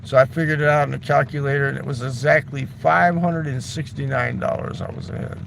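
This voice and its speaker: A man's voice: medium pitch, fairly even intonation, a little fast, background noise. A man telling a long casual story, loose and chatty, swearing freely and tossing in "right?" and "man". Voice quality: gravelly. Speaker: livestreamer.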